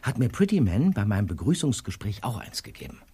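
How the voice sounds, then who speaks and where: A man playing an excited young detective and theatre intern in a German audio drama, chatty and animated, with small stammers. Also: lowish voice, brisk, husky, exaggerated voice. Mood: excited, teasing.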